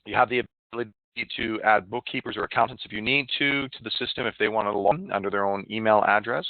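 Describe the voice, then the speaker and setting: A man's voice: lowish voice, fast talker, dry recording. Man presenting over a tinny phone line. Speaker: software demo presenter. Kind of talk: live online product demonstration, walkthrough. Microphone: phone or web conference call.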